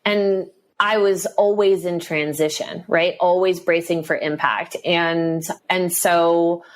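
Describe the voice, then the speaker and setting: Woman, slightly low voice, candid storytelling, chatty with restarts. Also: fairly even intonation, slow pace. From New York. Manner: conversational, lots of fillers and restarts. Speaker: guest.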